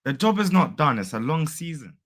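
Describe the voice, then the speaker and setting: A young man whose voice is hoarse and fading hosts a casual football reaction vlog. He talks in a chatty, upbeat way and uses plenty of fillers. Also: a little high, big pitch swings, a little fast, a bit bright. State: losing his voice. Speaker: football fan channel host.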